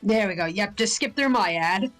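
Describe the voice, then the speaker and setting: A woman's voice: medium pitch, expressive, moderate pace, a bit bright, faint music behind. Nasal, stuffed-up woman from Ohio. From Ohio, USA. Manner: chatty, uses lots of fillers. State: stuffed-up nose from a cold. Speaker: stream co-host. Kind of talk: casual chat.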